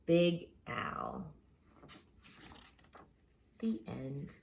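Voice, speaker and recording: A woman reading a children's picture book aloud expressively, with dramatic pauses and drawn-out words for emphasis. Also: rumbling low, huge pitch swings, creaky, a little mellow, a little hiss or hum. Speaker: children's storybook reader.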